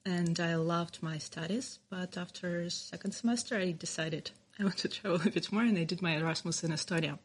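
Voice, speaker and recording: A woman in her thirties, from Ukraine, chatting as a podcast guest in a relaxed, self-correcting way. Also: fairly low pitch, fairly quick, hardly any pauses, a little hiss or hum. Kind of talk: casual interview chat.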